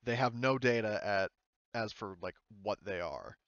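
A man's voice: fairly low pitch, lively intonation, a little slow, fairly bright tone, clean tone, dry recording, dull audio. A man running a tabletop game as game master, narrating off the cuff in an informal, explanatory way and swearing casually.

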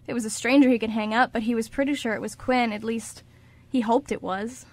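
A woman's voice: on the high side, fairly even intonation, fairly quick. A woman reading scripted narration for a radio drama.

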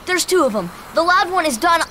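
A man's voice: very high voice, expressive, fairly quick, sharp tone, a little hiss or hum, heavily acted. An actor playing an earnest, friendly young teenage boy adventurer in a game, hesitant, with an American accent. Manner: hesitant, puzzled. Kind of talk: video game cutscene acting.

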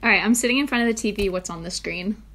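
A woman's voice: medium pitch, fast, fairly bright tone. A young woman in a small bedroom, reading quiz questions to her fiancé in a giggly, teasing way, picked up by a camera on a tripod. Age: young adult. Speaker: vlog co-host asking questions. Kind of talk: casual couple chat.

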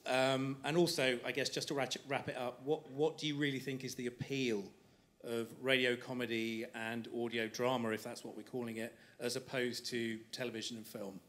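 A man's voice: mid-range voice, level tone, moderate pace, a bit bright. A middle-aged man asking a spontaneous, somewhat roundabout question from an audience. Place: large lecture theatre.

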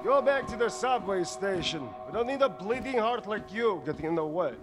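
An actor playing a cold, ruthless mercenary soldier in a video game, sneering and callous. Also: male voice, high-pitched, animated, moderate pace. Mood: cold, scornful. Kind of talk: dramatic video game cutscene acting. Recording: music behind.

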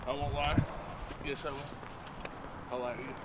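A man in his thirties with a raspy voice, talking casually to a handheld camera while walking outdoors, rambling and self-deprecating. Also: medium pitch, level tone, moderate pace, heavy background noise. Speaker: vlogger. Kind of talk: casual daily vlog. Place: outdoors by a road and parking lots. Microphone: handheld camera at arm's length.

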